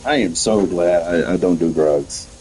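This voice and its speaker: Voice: low-pitched, a little slow, mellow, soft background music, poor audio. A streamer chatting casually while playing, talking to themself and a friend. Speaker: gaming streamer. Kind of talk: casual gameplay commentary.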